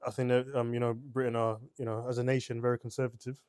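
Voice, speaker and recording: A man's voice: medium pitch, little pitch movement, quick pace, a little mellow, clear, resonant tone. A man co-hosting a casual book discussion podcast, talking hesitantly with many fillers. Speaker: podcast co-host.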